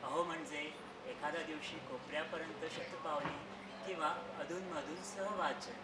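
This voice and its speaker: A man acting a strict but kindly old grandfather on stage, instructing the family in a measured, admonishing way. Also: a little high, fairly even intonation, natural voice. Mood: stern, advising. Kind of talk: stage play acting, reading out a letter. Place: theatre stage in an auditorium. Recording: echoey auditorium, distant mic, loud noise.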